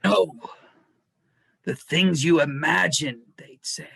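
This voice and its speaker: A man playing a blunt, fatherly talking teddy bear in a play reading, with a gravelly, husky voice. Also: fairly high pitch, takes it slowly, fairly bright tone, full character voice. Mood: gently encouraging. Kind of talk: dramatized play script reading.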